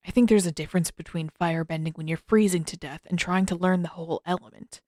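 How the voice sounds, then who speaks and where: A woman voicing a reserved, earnest teenage prince in an understated, measured and wry way for an audiobook story. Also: on the low side, steady tone, warm tone, no room sound, clean studio sound, pitched below the performer's own voice, natural voice. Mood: cautious, wry.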